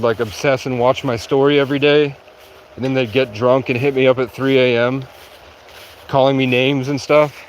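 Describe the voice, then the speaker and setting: A sleep-deprived man livestreaming outdoors in a rural area, rambling in a halting way with many restarts. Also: mid-range voice, slight background noise, poor audio. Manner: halting, restarts often, heavy use of "like". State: sleep-deprived after hours of talking. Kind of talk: rambling casual monologue.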